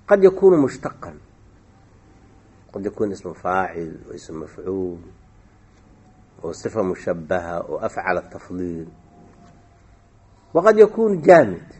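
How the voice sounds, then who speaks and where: A man's voice: medium pitch, a little mellow, breathy edge. A man teaching a classical Arabic grammar lesson, explaining points and quizzing his students with short questions.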